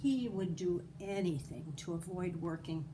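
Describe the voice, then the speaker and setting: A woman's voice: fairly low pitch, measured pace, background noise. A woman reading a children's folktale aloud as a storyteller, with dramatic emphasis and little asides to listeners. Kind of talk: expressive read-aloud narration of a children's folktale.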